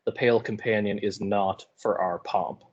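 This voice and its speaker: Low-voiced male teacher, informal lecture. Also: steady tone, moderate pace, dull audio. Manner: explanatory, colloquial paraphrasing. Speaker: high school English teacher.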